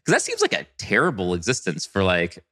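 A man's voice: low-pitched, huge pitch swings, fairly quick, bright, forceful tone. A male podcast co-host chatting casually in an emphatic, informal way. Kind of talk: casual chat.

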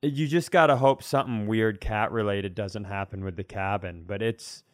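A man's voice: fairly low pitch, normal speed, no echo, studio quality. A man co-hosting a comedy podcast in casual conversation, rambling through wry anecdotes and speculation.

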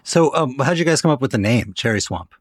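A man's voice: medium pitch, expressive, fairly quick, husky, dry recording, studio quality. A man with an American accent hosting a podcast in a conversational style. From Washington, D.C., United States. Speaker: podcast host.

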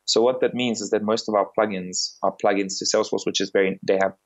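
A man, a company founder and podcast guest, explaining his business in a measured way with frequent fillers and restarts. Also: on the low side, fairly even intonation, highs cut off. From Bulgaria, raised in South Africa. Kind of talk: podcast interview.

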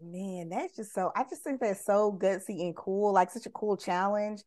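A woman's voice: medium pitch, darkish tone. A woman hosting a podcast interview, chatty and enthusiastic as she asks her questions. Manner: chatty and enthusiastic, quick to laugh. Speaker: podcast host. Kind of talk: casual interview.

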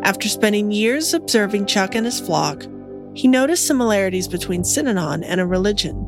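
A woman narrates a documentary podcast in a measured, even storytelling manner. Her pitch sits in an ordinary middle range. Music runs clearly beneath her voice.

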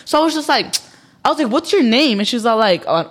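A woman's voice: a little high, very animated, quick pace. A young woman chatting as a podcast guest, candid and swearing freely, acting out the people in her stories. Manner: candid, swears freely, acts out quoted dialogue in storytelling. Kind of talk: casual podcast chat.

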